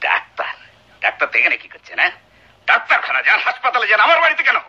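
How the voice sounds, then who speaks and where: An actor playing a cruel, hot-tempered husband in a radio drama, shouting menacingly and barking repeated short commands. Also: male voice, high voice, huge pitch swings, racing, slightly rough, heavily acted. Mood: angry, suspicious. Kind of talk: Bengali radio drama acting. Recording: dull lo-fi old radio drama recording.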